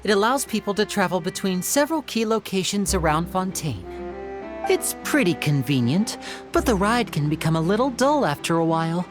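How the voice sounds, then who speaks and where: A polite young man who works as a stage magician, voiced by a woman, in anime game style. He is composed and easygoing, with animated intonation at a middle pitch for a woman, over clear music. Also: normal speed, lightly acted. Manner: courteous, composed.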